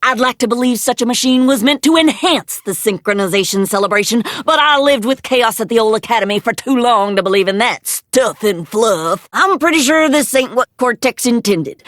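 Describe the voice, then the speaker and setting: An actress playing a folksy, plainspoken adventure guide in a cartoon game, with a drawling American Southern accent. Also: female voice, a little high, animated, fairly quick, a bit bright, no echo, studio quality. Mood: suspicious, plainspoken. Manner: folksy, drawling, colourful country sayings. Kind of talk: cartoon video game voice acting.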